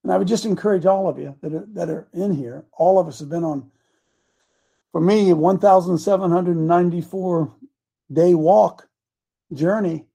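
Gravelly folksy preacher in his seventies. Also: high voice, moderate pace, mellow. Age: 70s. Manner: folksy, repetitive, emphatic. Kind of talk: casual sermon.

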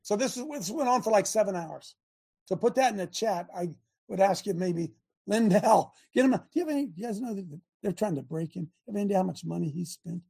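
Gravelly high-voiced male talk host. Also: fairly quick, darkish tone. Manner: emphatic, exclaiming, directs the producer. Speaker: host of a live talk stream. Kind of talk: casual live-stream talk show.

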